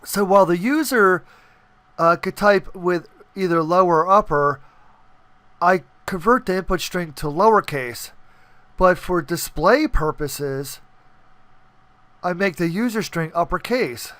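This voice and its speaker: A man's voice: high-pitched, unhurried, pauses often, fairly bright tone, dry recording. A man hosting a casual screen-recorded coding tutorial, talking informally and often checking in with the listener. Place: indoors, voice-over on screen capture.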